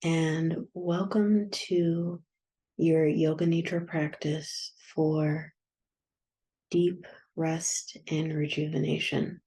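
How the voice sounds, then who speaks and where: A woman guiding a yoga nidra meditation in a soft, soothing, unhurried manner with long pauses. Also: low voice, little pitch movement. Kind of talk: guided meditation.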